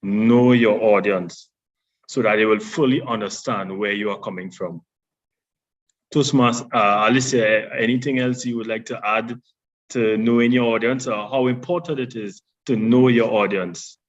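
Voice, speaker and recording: A man with a deep voice presenting a public speaking workshop, explaining points in a measured way with small restarts. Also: fairly even intonation, dull audio. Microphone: online session audio.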